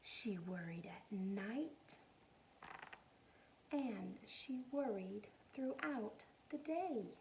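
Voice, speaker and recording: A woman's voice: mid-range voice, animated, drawn-out words, a little mellow, a little hiss or hum, rough recording. A woman reading a picture book aloud expressively to young children, adding simple explanations as a teacher would.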